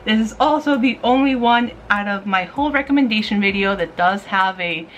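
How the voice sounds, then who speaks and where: Woman, casual vlog review, mid-pitched voice, gushing and giggly, slangy asides, faint background noise. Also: moderate pace, highs cut off. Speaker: YouTube host giving manga recommendations. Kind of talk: casual, chatty review to camera.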